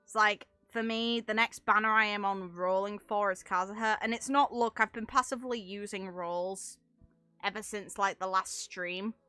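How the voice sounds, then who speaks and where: Bright-voiced woman streamer, chatty and excitable. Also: mid-range voice, normal speed, clean tone, dry recording, faint music behind. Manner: chatty, thinking aloud, excitable. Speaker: game streamer. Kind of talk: casual gameplay commentary.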